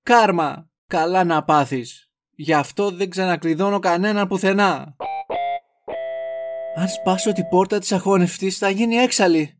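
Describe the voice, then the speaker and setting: A man's voice: high voice, over music. A man playing an exasperated but caring and protective young man in an amateur audio drama, grumbling earnestly. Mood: frantic self-talk.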